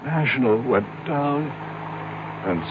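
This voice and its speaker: A man's voice: medium pitch, lively intonation, slow, a little hiss or hum. An actor playing a greedy, paranoid businessman falling apart in a radio drama, stammering and repeating himself. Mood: weak, despairing. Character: panicking ruined businessman, greedy, paranoid, fading in strength. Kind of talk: 1980 radio drama acting. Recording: dull old radio broadcast with music.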